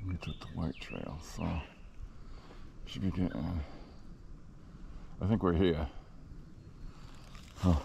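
A man's voice: low voice, slow pace, creaky, pauses often, background noise. A man hiking a wooded trail and giving offhand, muttered commentary into a body-worn camera outdoors. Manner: offhand, muttering asides to himself. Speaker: hiker filming his walk. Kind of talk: casual spoken commentary while hiking. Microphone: body-worn action camera.

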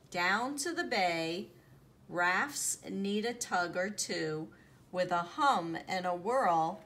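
Very slow-paced woman reading a picture book. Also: medium pitch. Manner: expressive, deliberate storytelling with drawn-out sound words. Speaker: teacher reading aloud. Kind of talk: children's picture book read-aloud. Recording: noticeable background noise, home recording.

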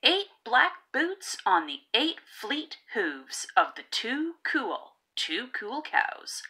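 A woman reading a children's picture book aloud in a rhythmic, theatrical way with drawn-out emphasis. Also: fairly high pitch, big pitch swings, bright, forceful tone, breathy edge. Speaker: read-aloud narrator of children's picture books. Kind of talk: expressive storybook reading.

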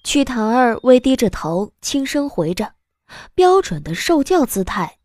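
A woman giving expressive scripted narration as an audiobook narrator. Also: a little high, a little slow, no echo, clean studio sound.

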